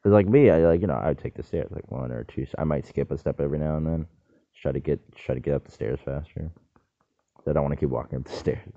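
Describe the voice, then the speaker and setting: Man, very deep gravelly voice, rambling and self-interrupting, thin phone-like sound. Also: fast talker, warm tone, no echo. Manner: meandering, self-interrupting, jokey asides. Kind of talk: rambling solo monologue. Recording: dry, tinny phone-like sound.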